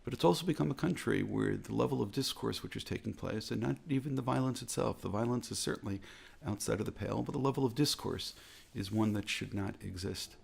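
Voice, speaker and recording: American guy, rabbi type, gravelly husky voice, slow earnest talk, keeps repeating phrases. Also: lowish voice, darkish tone. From United States. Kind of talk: reflective talk.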